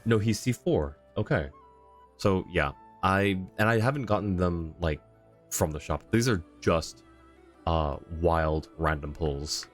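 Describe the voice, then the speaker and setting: Deep-voiced man chatting on a game stream. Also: measured pace. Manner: conversational, reading chat comments aloud. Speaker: game livestreamer. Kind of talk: casual livestream chat, answering viewers. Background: faint game music.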